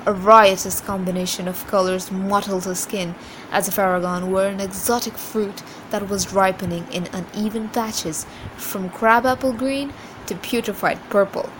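A woman reads a story aloud expressively, in a mid-pitched voice. The recording is noisy, with an ambient rumble like a vehicle behind her. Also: fairly even intonation, moderate pace. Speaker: reader. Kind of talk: expressive book reading after a casual introduction.

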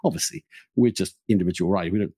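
A man with a soft, mellow voice hosts a thoughtful conversation, recorded very dry and close. He speaks haltingly, feeling his way through ideas and doubling back on words as he reflects. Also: deep voice, slightly rough, no echo. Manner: halting, searching, self-interrupting with repeated words. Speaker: podcast host.

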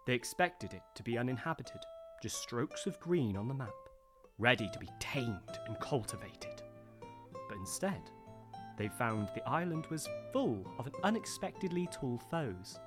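A performer playing a storyteller who recounts an old legend in a measured, earnest way. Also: medium pitch, sing-song, over music, lightly acted. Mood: absorbed storytelling. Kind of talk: dramatic storytelling.

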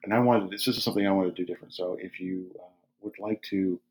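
A man's voice: sits low, fairly even intonation, quick pace, slight background noise, low-quality recording. A man co-hosting a podcast in casual conversation, teasing and wry. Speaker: podcast co-host, yoga studio owner.

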